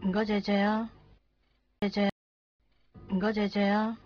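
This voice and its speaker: A woman's voice: mid-range voice, little pitch movement, measured pace, faint music behind, close to an everyday voice. An actress playing a hurt, insistent young woman in a radio drama, speaking plaintive Hong Kong Cantonese. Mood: polite. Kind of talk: 1990s radio drama dialogue. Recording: muffled 1990s radio drama recording.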